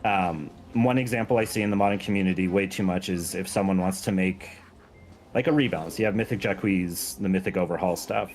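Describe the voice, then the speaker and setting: A man with a fairly low voice talks in a casual, earnest way, as a guest on a gaming stream would. He is informal and plays himself down. There is faint noise in the background of the recording. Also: a little fast. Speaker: guest, game mod creator.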